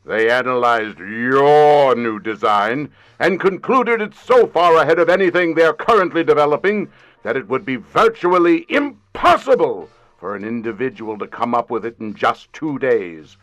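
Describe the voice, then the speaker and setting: A man's voice: mid-range voice, animated, normal speed, fairly bright tone, no echo, natural voice. Pompous irate boss played by a man. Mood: stern, accusing. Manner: pompous, emphatic. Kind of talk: modern revival of old-time radio comedy drama acting.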